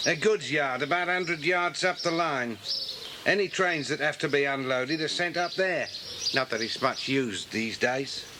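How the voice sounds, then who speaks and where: A man playing a gruff, wary English railway worker with a colloquial, brusque way of talking in a dramatised children's audiobook. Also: fairly high pitch, unhurried, lightly acted. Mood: matter-of-fact. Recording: noisy old cassette recording.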